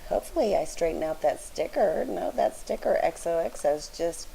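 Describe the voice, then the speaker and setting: A woman with a weak, raspy, low voice, chatting casually in a voice-over as she narrates her scrapbooking work. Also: lively intonation, fairly quick, slight background noise, rough recording. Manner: soft-spoken, chatty, straining to be heard. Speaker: scrapbooking channel host.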